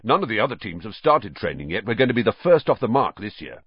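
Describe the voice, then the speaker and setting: A man voicing a burly, hearty teenage sports captain who talks briskly and commandingly. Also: lowish voice, sing-song, breathy edge, no room sound. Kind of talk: audiobook character voice. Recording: dull, dry lo-fi audio.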